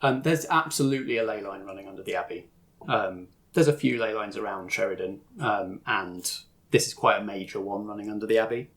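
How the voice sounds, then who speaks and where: Male game master, lower register, informal explanatory narration for tabletop roleplay. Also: brisk, a bit bright.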